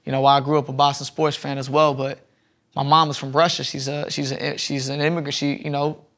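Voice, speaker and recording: A man's voice: medium pitch, level tone, brisk, a bit bright. A young man guesting on a podcast, telling rambling stories in casual chat with many restarts, on a lapel mic in a studio lounge. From Southern United States. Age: young adult.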